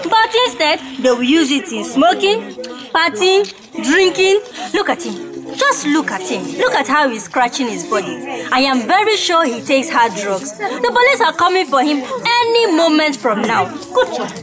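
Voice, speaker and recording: Indignant, high-pitched Nigerian street gossip. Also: female voice, big pitch swings, moderate pace, music in the background. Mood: scornful. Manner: talkative, emphatic. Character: indignant, judgmental, gossipy female street bystander. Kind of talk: radio drama acting. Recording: busy crowd noise behind. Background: crowd.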